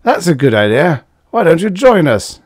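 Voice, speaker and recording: A man voicing a brash, tipsy young male drill leader who hiccups and barks orders, in a lively, loud way. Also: fairly high pitch, moderate pace, dry recording, clean studio sound, voice pushed up. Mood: gruff, brash. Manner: boastful, gruff barking orders.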